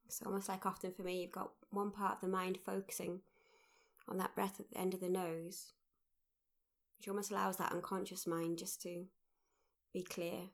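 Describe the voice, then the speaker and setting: A woman leading a guided mindfulness meditation, speaking gently in short, unhurried phrases with pauses. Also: on the low side, level tone. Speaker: meditation guide, workshop leader.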